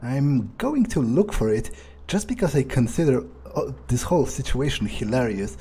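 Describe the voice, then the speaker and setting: A man doing in-character roleplay commentary over a video game, thinking aloud in a sardonic, amused way with halting phrasing. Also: mid-range voice, big pitch swings, dark tone, slightly rough. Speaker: gamer playing in character.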